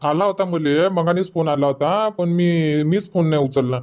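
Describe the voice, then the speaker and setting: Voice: mid-range voice, normal speed, a little mellow, lightly acted. A performer voicing an argumentative male farmer in a comic cartoon, with a rustic Varhadi Marathi accent and grumbling manner. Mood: defensive. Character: easygoing but argumentative male village farmer husband. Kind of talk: comic cartoon dialogue dubbing.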